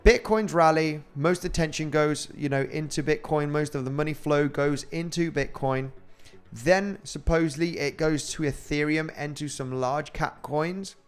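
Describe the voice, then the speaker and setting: A man's voice: fairly high pitch, unhurried, clean tone, soft background music. A man with a Spanish accent, a crypto trading host chatting casually on a livestream and reading out viewer comments in a rambling way. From Spain. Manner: rambling and chatty, with frequent fillers and laughs.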